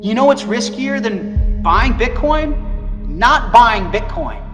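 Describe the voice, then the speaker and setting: Male motivational speaker, very high voice, unhurried and emphatic, music clearly behind. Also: lively intonation, a bit bright. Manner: emphatic, repeats phrases rhetorically. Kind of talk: motivational persuasive talk.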